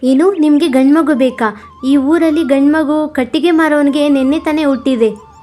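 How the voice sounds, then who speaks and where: A woman voicing a scheming old village midwife in a cartoon, brisk and secretive. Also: sits high, dark tone, faint music behind, natural voice.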